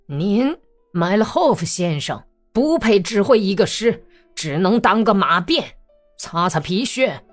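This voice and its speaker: A woman's voice: fairly low pitch, animated, measured pace, a little mellow. A woman acting out an irate, domineering old male general in an audiobook, imperious and scolding, with music behind. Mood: furious shouting. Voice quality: hoarse when angry.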